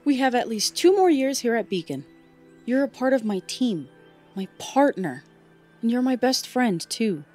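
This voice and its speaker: A woman playing an earnest, affectionate teenage girl in an audiobook, speaking sincerely in halting, casual phrases. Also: a little high, animated, darkish tone, faint music behind. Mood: earnest, tender. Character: earnest, affectionate teenage girl fighter, supportive best friend. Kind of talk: audiobook fan fiction dialogue.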